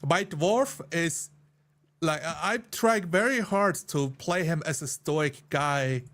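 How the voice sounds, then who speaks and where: A man with a slightly high voice talks casually about roleplaying as a player. He explains himself earnestly and conversationally. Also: takes it slowly, a bit bright. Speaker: tabletop roleplayer.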